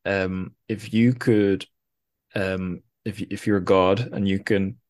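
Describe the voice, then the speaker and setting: A man's voice: sits low, level tone, slow, darkish tone. A man hosting an interview podcast in casual chat, hesitant and often correcting himself as he goes.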